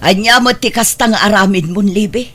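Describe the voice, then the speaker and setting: A woman's voice: mid-range voice, moderate pace, music in the background, lightly acted. A woman playing a proud, disapproving, stern mother in an Ilocano radio drama. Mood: scolding.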